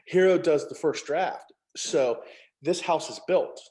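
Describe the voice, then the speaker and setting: A man's voice: on the high side, slow pace, darkish tone. Man chatting as a co-author. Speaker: author, co-writer of a novel. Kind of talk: casual panel conversation.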